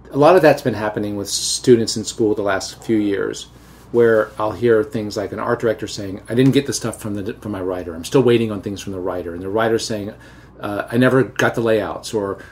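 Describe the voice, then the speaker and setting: A middle-aged man with a Southern American accent, a teacher hosting a relaxed, chatty interview and telling anecdotes. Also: on the low side, brisk. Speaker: podcast host and teacher. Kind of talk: casual conversational interview.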